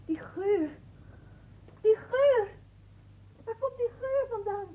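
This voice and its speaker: Young girl, piping very high pitch, curious, eager, radio drama. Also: lively intonation, slow pace, phone-quality sound, full character voice. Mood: dreamy.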